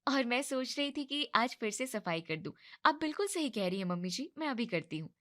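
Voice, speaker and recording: A sassy young woman, voiced by a woman, pitched high and bright with a quick, cheeky tongue. She sounds like a storybook heroine. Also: very animated. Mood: polite.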